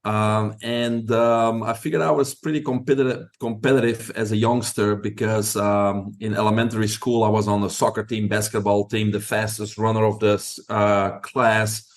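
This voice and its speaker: Man, Belgian accent, middle-aged, fairly low voice, confident, upbeat guest storytelling. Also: level tone, moderate pace, few pauses. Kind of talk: interview answers, storytelling.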